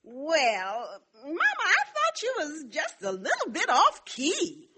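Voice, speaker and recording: A woman's voice: high voice, huge pitch swings, slow, bright, forceful tone, heavily acted. A woman playing a snippy, nagging wife in old-time radio comedy, prim and scolding. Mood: peeved. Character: snippy, nagging wife, devoted to her mother.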